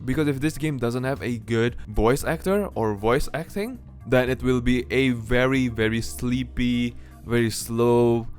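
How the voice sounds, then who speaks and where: Male game reviewer over music. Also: mid-range voice. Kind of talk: scripted review narration with casual asides. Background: background music.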